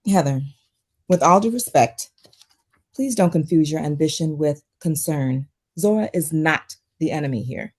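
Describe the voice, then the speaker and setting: A woman playing a concerned, guarded psychiatrist with a measured professional manner at a drama table read. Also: sits low, lively intonation, a little mellow, breathy edge, full character voice. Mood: guarded, defensive. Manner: measured, professional, hesitant at times.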